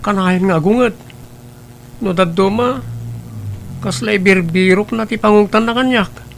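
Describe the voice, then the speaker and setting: Man acting a high-voiced, wistful young nephew. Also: unhurried, vocal fry, slight background noise. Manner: polite, plaintive. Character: wistful, polite teenage student nephew living with his aunt. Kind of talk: Ilocano audio drama acting.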